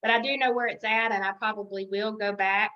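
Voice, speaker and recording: A woman's voice: mid-range voice, fairly even intonation, fairly quick. A woman giving an informal training talk on a conference call, chatty and rambling, often thinking aloud. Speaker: sales director training consultants. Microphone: conference call line. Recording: dull, lo-fi conference call audio.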